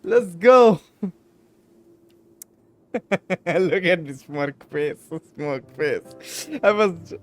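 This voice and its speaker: A woman's voice: on the low side, lively intonation, very slow, pauses often, faint music behind. A woman giving casual, blunt game reaction commentary, often repeating her phrases. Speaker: gaming commentator.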